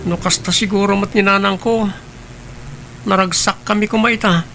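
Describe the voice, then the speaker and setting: A man's voice: sits high, steady tone, normal speed, creaky, pushed and bright, a little hiss or hum, exaggerated voice, low-quality recording. A man playing a polite, wistful schoolboy in an audio drama, with a Filipino accent and a respectful manner. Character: polite schoolboy, wistful and neglected by his mother.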